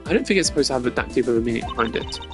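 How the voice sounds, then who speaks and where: Performer doing a formal, measured male prosecutor. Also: mid-range voice, animated, music in the background, lightly acted. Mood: dry. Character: composed, formal male prosecutor, logical and dry.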